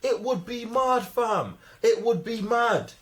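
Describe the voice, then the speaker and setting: A man's voice: very high voice, expressive, slow, a little hiss or hum. A man with a London accent in casual, opinionated chat as a review co-host, full of slang, insistent and repeating himself for emphasis. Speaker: co-host of a film review show.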